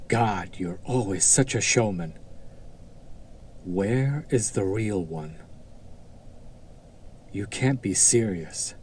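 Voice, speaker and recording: A man's voice: on the low side, a little mellow, slight background noise. A man playing a haughty, sardonic demon buyer in an audio drama, drawling with condescending, theatrical skepticism. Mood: mocking disbelief. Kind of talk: scripted roleplay audio drama acting.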